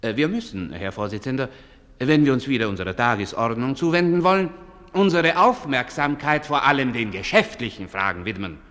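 An actor playing a coolly insistent male negotiator at a conference in a radio play, speaking formally with emphatic, halting diplomacy. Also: mid-range voice, animated, a bit bright, natural voice. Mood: formal, smooth. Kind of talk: German radio play acting. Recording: reverberant hall.

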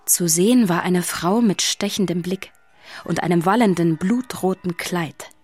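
A woman's voice: fairly low pitch, unhurried, darkish tone, slightly rough, dry recording, faint music behind. A woman playing the composed storyteller of a spooky children's tale, reading scripted narration.

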